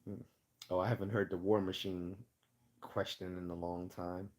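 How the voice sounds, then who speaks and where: A man hosting a casual livestream Q&A, chatting and rambling as he loses his voice and keeps clearing his throat. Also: low voice, flat delivery, moderate pace, darkish tone. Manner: rambling, chatty, laughs often. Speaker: livestream host.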